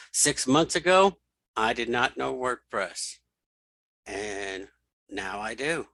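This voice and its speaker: Male tutorial host, middle-aged, crisp dry mic, bright delivery, informal step-by-step narration that thinks out loud. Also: mid-range voice, no echo. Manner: informal, thinking aloud, repeats key steps. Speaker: tutorial host and web developer. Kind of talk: screen reader software tutorial, talking through steps.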